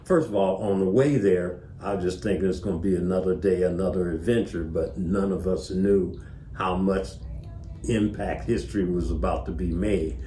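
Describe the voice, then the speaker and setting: A man's voice: low voice, fairly even intonation, normal speed, mellow, a little hiss or hum. An older man, an interview guest, telling stories casually and acting out remembered dialogue as he goes. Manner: acts out remembered dialogue as he narrates. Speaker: interview guest, former tour manager. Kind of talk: casual anecdotal storytelling. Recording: roomy, echoing interview sound.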